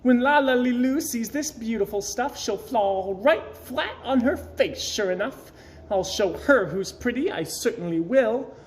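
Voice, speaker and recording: Man voicing a high, excitable vain girl bird. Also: a little slow, a little hiss or hum, rough recording. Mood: gloating. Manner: whining, boastful, dramatic exclamations. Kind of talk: storybook character voice.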